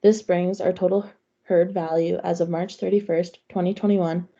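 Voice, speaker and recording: A young woman from Saskatchewan reads out figures in a measured student presentation. Her voice is low, dark and gravelly. The sound is dull and lo-fi. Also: flat delivery. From Saskatchewan, Canada. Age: young adult. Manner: measured, lists values plainly. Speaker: student records coordinator. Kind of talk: prepared class presentation. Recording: dull lo-fi recording.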